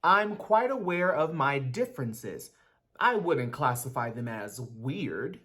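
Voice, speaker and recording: A woman's voice: very deep voice, big pitch swings, slow, fairly bright tone, slightly rough, full character voice. A woman playing a brainy, awkward schoolboy in a dramatic reading, speaking formally and pedantically. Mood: formal, matter-of-fact. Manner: pedantic, precise, formal wording.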